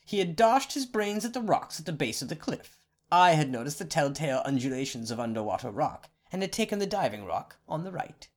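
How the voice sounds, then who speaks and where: A man's voice: a little high, a little fast, few pauses, voice pushed up. A man voicing a clever young student telling his mother how he escaped. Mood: boastful. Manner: lively, proud storytelling.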